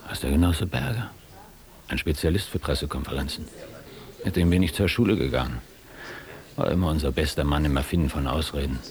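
An actor playing a worldly, ironic young East German writer looking back in a radio drama. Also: male voice, rumbling low, fairly quick, long pauses, a little mellow. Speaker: actor playing narrator and lead. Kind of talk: radio drama narration and dialogue.

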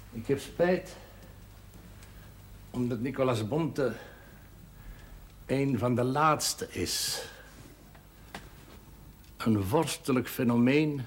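A husky old priest, slow and solemn. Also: male voice, on the low side, exaggerated voice. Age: 70s. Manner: slow, measured, solemn delivery. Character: old male priest, wise and melancholy. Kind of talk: 1970s television drama acting.

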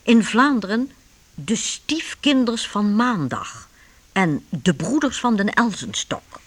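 Casting: storyteller for a historical radio play, unhurried, with lively rising and falling pitch. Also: husky. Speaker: narrator. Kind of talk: scripted storytelling narration for radio drama.

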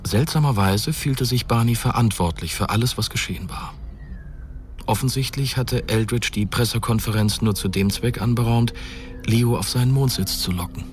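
A man's voice: mid-range voice, moderate pace, a little hiss or hum. A man narrating a German radio drama from a script. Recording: loud music behind.

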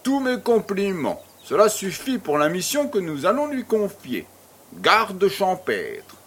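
A man acting as a pompous, jovial baron in a French audio drama, speaking in a ceremonious, deliberate way. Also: high-pitched, very animated, husky, heavily acted. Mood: officious, approving. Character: pompous, jovial male baron hosting a charity fête.

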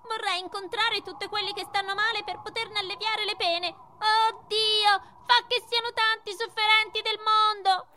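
Very high, whiny little puppet child. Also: female voice, steady tone, moderate pace, few pauses, pushed and bright, a little hiss or hum. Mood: eager. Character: whimpering, naive puppet child eager to do good. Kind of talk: theatrical radio play acting.

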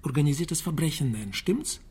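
An actor playing a wry, evasive private detective in a radio drama, husky, dry and offhand in a naturalistic style. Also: male voice, on the high side, animated, a little fast, a little mellow, exaggerated voice. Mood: dry, sardonic.

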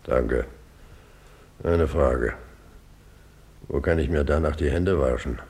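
Creepy courteous killer in old radio play, super deep gravelly voice, menacing. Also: male voice, slow pace, a little mellow, exaggerated voice. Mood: polite, businesslike. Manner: businesslike, over-polite, menacing. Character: sinister, courteous cloaked killer in a slouch hat. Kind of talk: 1950s German radio play acting.

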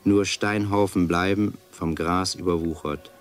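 Very deep, slow man reading solemnly. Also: fairly even intonation, a little hiss or hum. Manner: measured, austere, deliberate pauses.

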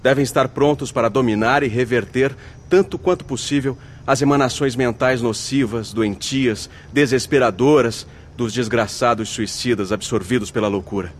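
A man plays a solemn male spirit team leader in a Brazilian radio drama. He talks gravely and deliberately at a medium pitch, with faint ambient noise behind. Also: lightly acted.

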